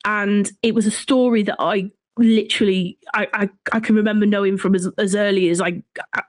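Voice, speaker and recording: A woman's voice: mid-range voice, steady tone, fairly quick, dry recording, studio quality. A woman with a Shropshire English accent, telling history conversationally as an interview guest. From Shropshire, England. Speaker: podcast guest, folklore and history writer.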